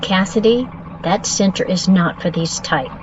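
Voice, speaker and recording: A woman playing a shrewd, commanding brothel madam in an audio drama, poised and probing, with a husky voice. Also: medium pitch, a little slow, muffled recording, noisy background, lightly acted. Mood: cool, commanding.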